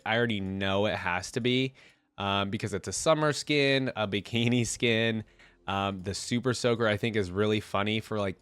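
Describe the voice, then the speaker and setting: A man's voice: mid-range voice, fairly bright tone, no room sound. A man with a congested, head-cold voice giving casual reaction commentary as a video host, thinking aloud in informal slang.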